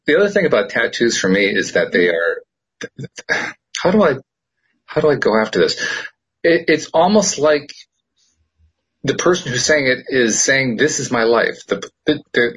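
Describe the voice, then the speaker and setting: A man hosting a casual chat, talking in a thoughtful, measured way and often pausing to search for his words. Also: mid-range voice, very animated, a bit bright, husky, poor audio. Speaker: host.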